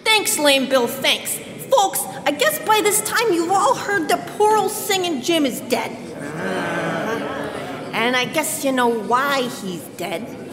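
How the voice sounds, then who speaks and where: A woman's voice: high-pitched, big pitch swings, slow, crowd noise, exaggerated voice. A woman playing a folksy, plain-spoken apple seller rallying fellow beggars in a live radio drama. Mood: rallying, determined. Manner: folksy, emphatic, ungrammatical speechmaking. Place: church hall stage. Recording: echoey hall, music behind.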